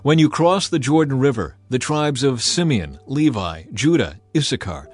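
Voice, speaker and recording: A man voicing a solemn, commanding prophet addressing his people in a dramatized scripture reading, declamatory and deliberate. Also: medium pitch, lively intonation, husky. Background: music behind the voice.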